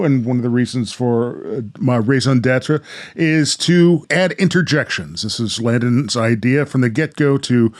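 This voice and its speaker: A man with a mid-range voice serves as host and narrator. His delivery is theatrical and animated, like scripted storytelling with a dramatic lift. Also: mid-range voice.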